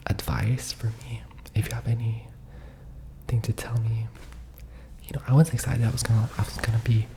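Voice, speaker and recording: A man's voice: medium pitch, background noise. A young man in his twenties, whispering an ASMR-style vlog from the floor, dramatic and self-mocking. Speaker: vlogger. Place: sitting on a floor indoors.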